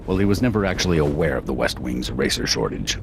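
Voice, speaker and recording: A brusque government boss in a video game, speaking in a very low voice. He is glib, folksy and dismissive with his underling. Clear noise rumbles behind him. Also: sing-song, quick pace, full character voice. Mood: breezy praise. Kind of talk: animated video game cutscene acting.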